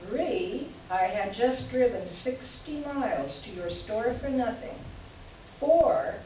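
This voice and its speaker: A woman acts out an indignant woman reading her sardonic complaint letter aloud in a live theater reading. Her delivery is slow and dry, on the higher side. It comes through roomy and distant. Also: expressive. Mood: exasperated. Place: live stage reading in a room, amplified. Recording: roomy, distant, muffled, slightly noisy live recording.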